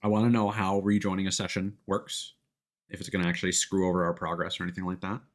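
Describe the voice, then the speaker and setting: A man's voice: low-pitched, flat delivery, quick pace. A man giving casual live gameplay commentary as a streamer, rambling and restarting his sentences mid-thought.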